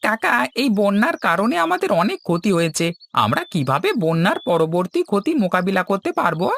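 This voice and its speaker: A woman's voice: mid-range voice, big pitch swings, brisk. A woman playing a worried villager in a cartoon, with formal, respectful, concerned scripted lines.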